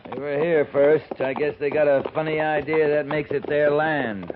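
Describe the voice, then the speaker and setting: A man's voice: fairly high pitch, fairly even intonation, normal speed, lightly acted. A man playing a wounded, weary cavalry captain in a 1950s radio western, terse and commanding, short of breath from his injury. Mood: knowing, dry. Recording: noisy, tinny 1950s radio broadcast.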